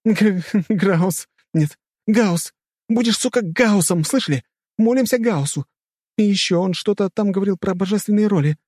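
Man putting on a fierce, high-voiced young woman fighter. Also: moderate pace, no echo, clean studio sound. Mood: agitated. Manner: shouting, swearing, frantic. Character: fierce foul-mouthed young woman fighter, desperate and bossy. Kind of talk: dramatic audiobook character acting.

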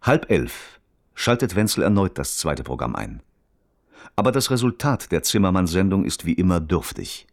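Male radio-play reporter, deep voice, lively intonation, sober and precise, dry close studio sound. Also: moderate pace, dry recording. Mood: sober, factual. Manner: precise, formal, matter-of-fact. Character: sober male reporter narrating a crime case. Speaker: narrator of a radio crime play. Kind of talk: sober documentary-style radio report narration.

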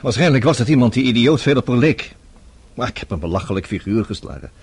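An actor playing a wry, hesitant television interviewer in a radio drama, evasive but polite, with a husky voice. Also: male voice, medium pitch, expressive, fast, a little mellow. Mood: embarrassed.

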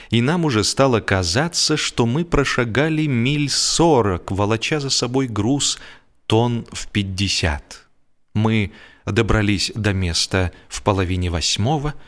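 A man narrating humorous literary fiction as a scripted audiobook, in an expressive, mock-earnest storytelling manner. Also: on the low side.

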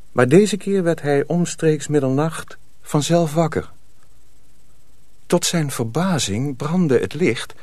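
A man narrating a dark folk tale for a radio drama, measured and solemn. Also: medium pitch, no echo. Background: music.

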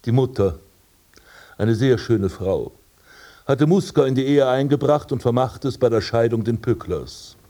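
An actor playing a haughty, vain aristocratic prince in a radio play, speaking in a pompous, formal manner. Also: male voice, mid-range voice, expressive, a little slow, dark tone, lightly acted. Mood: proud, reminiscing. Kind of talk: German radio play acting.

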